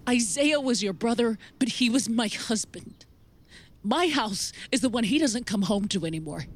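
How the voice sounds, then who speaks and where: A woman's voice: fairly high pitch, lively intonation, normal speed. A woman acting a frightened, grieving widowed mother in a naturalistic audio drama, weary and defensive. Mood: bitter, grieving.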